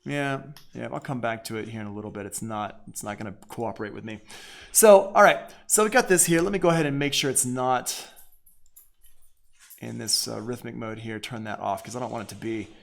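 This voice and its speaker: A man's voice: mid-range voice, fairly quick, gravelly. A man teaching audio production in a casual live online tutorial, thinking aloud informally as he works.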